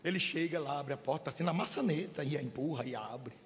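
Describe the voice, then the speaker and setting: A middle-aged man from Brazil preaching a sermon. He moves between soft, chatty storytelling and loud, shouting preaching. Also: on the high side, fast, slight background noise. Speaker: pastor. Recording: long hall reverb.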